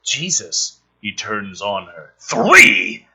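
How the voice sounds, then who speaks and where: A man's voice: sits high, big pitch swings, measured pace, bright, forceful tone. A man reading a script aloud with sarcastic, exasperated, foul-mouthed commentary as the host.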